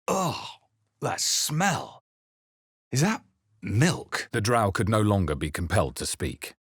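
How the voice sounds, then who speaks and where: A battle-hardened hero of a fantasy video game, brooding and measured. The voice is fairly low and husky, recorded very dry and close. The delivery is dramatic, like a man who has seen many fights. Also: huge pitch swings, a bit bright, no echo, exaggerated voice. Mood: irritated. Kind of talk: dramatic fantasy game voice lines and first-person narration.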